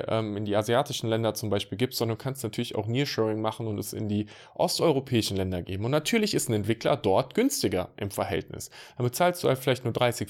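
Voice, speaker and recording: A man with an ordinary, mid-pitched voice talks in a relaxed, direct way, as if he were speaking to one listener. He chats about his work as a consultant in plain, informal language. His delivery stays conversational and unscripted. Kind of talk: casual monologue to the listener.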